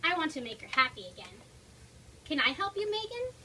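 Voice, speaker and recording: A woman's voice: sits high, very animated, fairly quick, bright, forceful tone, pitched above the performer's own voice, exaggerated voice. A woman voicing a worried, loving young girl in a dramatic storybook reading. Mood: anxious, loving. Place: toy shop event, before an audience. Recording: distant mic, noisy room.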